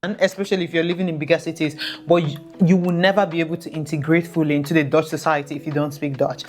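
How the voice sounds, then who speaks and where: A woman's voice: low-pitched, steady tone, fast talker, darkish tone. A woman speaking earnestly and directly to viewers as she gives practical advice in a vlog. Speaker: expat vlogger giving advice.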